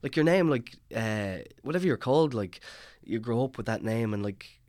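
A man's voice: mid-range voice, expressive, moderate pace, darkish tone, no echo, studio quality. A man in his thirties with a rural Irish accent, chatting casually and rambling with lots of fillers. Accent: Irish, rural Longford. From Ireland. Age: 30s. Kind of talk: casual chat.

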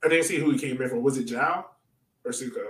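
A man's voice: medium pitch, fast. Echoey, distant-miked man chatting sports. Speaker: podcast host. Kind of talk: casual sports podcast chat. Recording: roomy echo, distant mic.

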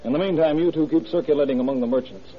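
An actor playing a keen, authoritative police detective with snappy slang in an old radio crime drama. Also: male voice, on the high side, very fast, natural voice. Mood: brisk, decisive. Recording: muffled old radio recording.

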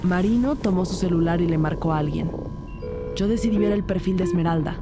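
A woman's voice: on the low side, fairly quick, close to an everyday voice. A woman playing a composed police detective who narrates her investigation in a scripted crime audio drama. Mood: composed, narrating. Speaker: narrator and lead character. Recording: loud music behind the voice. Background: music.

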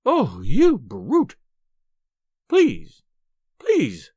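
Reader acting small furious Edwardian wife, wailing, scolding, wildly swooping pitch, storybook drama, dry recording. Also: huge pitch swings, unhurried, a bit bright, no room sound. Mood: wailing indignation.

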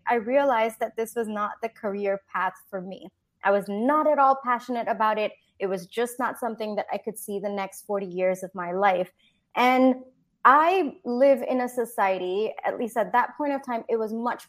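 A young woman from Singapore telling her own story as an interview guest, chatty, upbeat and easy-going. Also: medium pitch. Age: young adult. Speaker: podcast guest, young entrepreneur. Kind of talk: conversational personal storytelling interview.

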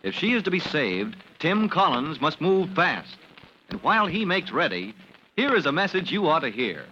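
A man's voice: on the high side, big pitch swings, normal speed. A man narrating an old-time radio drama as announcer, grand and emphatic. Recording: dull, faintly noisy old radio recording.

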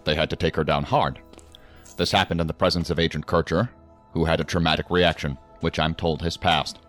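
An actor playing a stern, commanding federal agency superior in a science fiction audio drama, speaking in a formal, clipped, authoritative way. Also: male voice, extremely low pitch, level tone, fairly quick, a bit bright, soft background music. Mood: brisk, businesslike.

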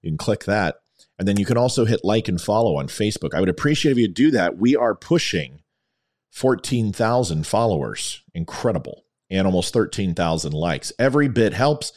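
A man's voice: fairly low pitch, expressive, normal speed, a little mellow. A man with a slightly scratchy, worn voice hosts an opinion show, delivering commentary in a theatrical, emphatic way. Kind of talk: opinion commentary monologue to an audience.

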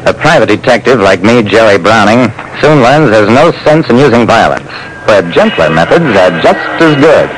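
A man's voice: on the low side, big pitch swings, moderate pace. An actor playing a private detective who narrates his casebook in old-time radio style, on a noisy vintage recording. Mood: dry, brisk storytelling.

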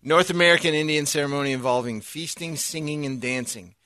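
A man co-hosting casual podcast banter who chimes in with dry, deadpan facts. His voice is very bright and sharp and sits a little high. The close mic gives a dry sound. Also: fairly quick, dry recording. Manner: dry, reads definitions aloud in a deadpan way. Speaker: podcast co-host.

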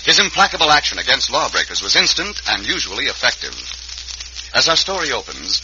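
A man's voice: mid-range voice, wildly swooping pitch, brisk, highs cut off, background noise. A man narrating an old radio adventure in a dramatic, declamatory announcer style. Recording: vintage radio broadcast over loud music.

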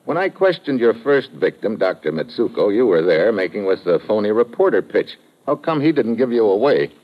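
Deep-voiced hard-boiled American investigator. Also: male voice, very animated, normal speed. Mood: tough, needling. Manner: hard-boiled, terse, wisecracking. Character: tough, sardonic American male investigator Steve, narrating his case. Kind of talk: old-time radio crime drama acting and narration. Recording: muffled, noisy vintage radio recording.

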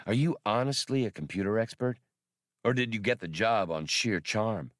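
Guy doing a cocky space captain for an audiobook, impatient and sarcastic, low voice, dry sound. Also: animated, normal speed, dry recording, natural voice. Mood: skeptical. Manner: blunt, sardonic. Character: brash, impatient, sarcastic male starship captain. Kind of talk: audiobook character dialogue.

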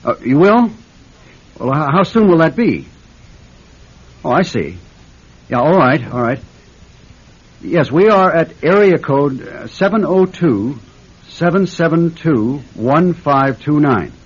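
A man's voice: a little high, sing-song, slow, long pauses, breathy edge, heavily acted. An actor playing an anxious, stammering husband in debt and caught in his own scheme, in a classic radio drama. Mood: flustered. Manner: flustered, stammering. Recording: dull, noisy old radio recording.

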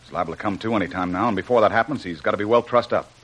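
An actor playing a brisk, commanding, good-humoured adventurer hero in 1940s radio drama style. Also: male voice, on the low side, a bit bright, slightly rough. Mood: exasperated, commanding. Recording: noisy old radio recording.

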